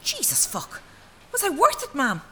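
A sharp, defiant young Irish woman. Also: high voice, huge pitch swings, moderate pace, a little hiss or hum, heavily acted. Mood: accusing. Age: young adult. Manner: blunt, exasperated, insistent. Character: defiant, blunt young daughter, scornful of her mother. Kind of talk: audio drama acting.

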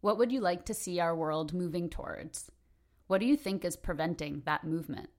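A woman hosting a podcast, reading questions and closing credits in a measured, formal way. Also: on the low side. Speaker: podcast host.

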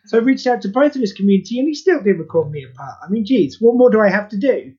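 A man talking as a guest on a podcast about the charity audio play he wrote, candid, self-deprecating and quick to swear. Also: sits high, expressive, mellow, breathy edge, dull audio. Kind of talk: casual podcast interview.

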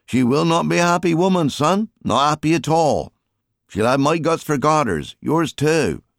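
A man's voice: a little high, animated, normal speed, gravelly, no echo, studio quality, pitched above the performer's own voice. A man voicing a weary, grumbling fisherman father in an audiobook, with colloquial working-man turns of phrase. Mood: wry foreboding. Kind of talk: audiobook character dialogue.

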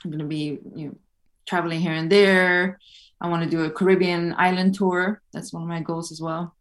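A woman's voice: on the low side, fairly even intonation, brisk. A woman guest on a podcast, talking in an easygoing, thoughtful way with many fillers. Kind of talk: casual interview chat.

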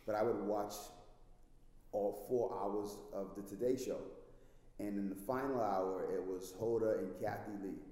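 A man's voice: fairly low pitch, steady tone, unhurried, mellow. A man from the Bahamas with a Bahamian accent, hosting a casual interview and talking enthusiastically. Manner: enthusiastic, drops in "man" often. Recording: very echoey room with long reverb.

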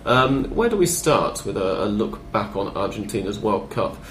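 Low-voiced man with a cold. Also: sing-song, moderate pace, a bit bright, echoey room, slight background noise. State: sore throat and cold, bunged up. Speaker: podcast host. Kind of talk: casual football podcast chat. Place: living room.

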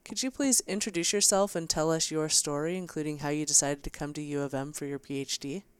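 A woman's voice: low voice, fairly even intonation, brisk, smooth, dry recording. A woman hosting a podcast, reading a formal introduction of her guest and welcoming her. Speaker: host.

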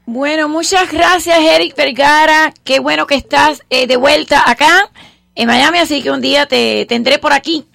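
A woman hosting a radio show, interviewing with brisk, quick follow-up questions. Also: high-pitched, steady tone, gravelly, pushed and bright, no room sound. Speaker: radio host.

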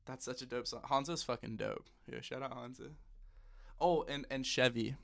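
A young man, a rapper guest on a podcast, with a slightly hoarse, raspy voice, chatting in a laid-back, slangy way in a recording studio. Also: mid-range voice, steady tone, moderate pace, fairly bright tone. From United States. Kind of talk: casual podcast chat.

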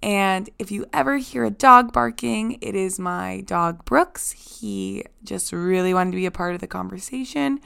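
A hoarse woman hosting a podcast, chatting effusively and casually, with lots of "like"s. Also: mid-range voice, measured pace, hardly any pauses, a bit bright. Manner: chatty, effusive, says "like" a lot. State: hoarse, nearly lost voice. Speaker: podcast host. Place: guest's apartment.

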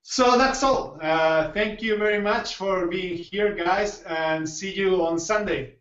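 A man with a fairly high voice hosts a casual podcast in non-native English, feeling for his words. He sounds somewhat distant in a roomy space, and the audio is muffled. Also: slow, a bit bright. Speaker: podcast host.

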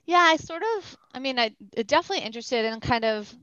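A woman's voice: fairly high pitch, animated, quick pace, a bit bright, clear, resonant tone, no echo, lo-fi sound. A woman photographer from the Boston suburbs, chatting casually as an interview guest and rambling with lots of fillers. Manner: rambling, many fillers like "like" and "you know".

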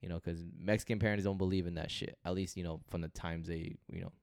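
A young man talks easily on a podcast, slangy and relaxed, dropping "you know" all through. His voice sits low and comes through clear and resonant. The sound is close and dry. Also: fast talker, no echo. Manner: laid-back, slangy, lots of "you know". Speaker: podcast co-host. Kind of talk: casual chat.

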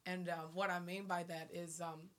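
Raspy low-voiced woman, earnest. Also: flat delivery, moderate pace, fairly bright tone. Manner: earnest, emphatic, checks listener understands. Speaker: gospel singer. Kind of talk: panel interview conversation.

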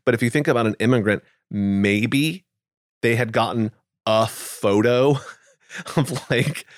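A man hosting a podcast in casual chat, relaxed and thinking aloud with fillers. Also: mid-range voice, takes it slowly, no room sound, clean studio sound. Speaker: podcast host.

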